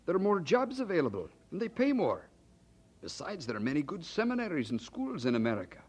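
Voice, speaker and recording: High-pitched, lively, encouraging older cousin. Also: male voice, husky, exaggerated voice. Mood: persuasive. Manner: encouraging, gently probing, thoughtful pauses. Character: kindly, persuasive older male cousin giving advice. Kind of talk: mid-century radio drama acting.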